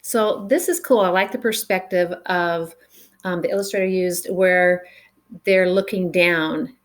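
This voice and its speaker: A woman reading a picture book aloud to children in an expressive way, with dramatic pauses and chatty asides to the listeners. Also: lowish voice. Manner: animated, dramatic pauses, engages young listeners with questions.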